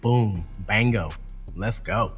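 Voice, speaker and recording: A man's voice: fairly low pitch, slow pace, faint music behind. A man working as a DJ, chatting casually in a laid-back, slangy, swearing style. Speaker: DJ on a podcast. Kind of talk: casual chat.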